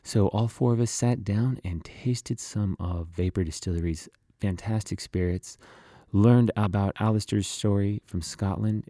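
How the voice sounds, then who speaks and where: A man with a low voice and a soft, dark tone hosts a casual podcast. The sound is close and dry, with very little room on it. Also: no room sound. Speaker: podcast host.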